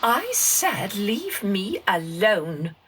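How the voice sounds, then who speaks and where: A woman's voice: mid-range voice, big pitch swings, drawn-out words, noisy background. A woman voicing a weary, irritable but determined female rain spirit with an exasperated, firm manner in a children's storybook. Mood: irritated.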